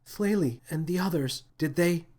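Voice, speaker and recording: A man's voice: on the high side, moderate pace, a little mellow, pitched above the performer's own voice, close to an everyday voice. A man voicing an earnest, anxious young girl in a children's sci-fi story, with a hesitant, pleading manner. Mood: worried.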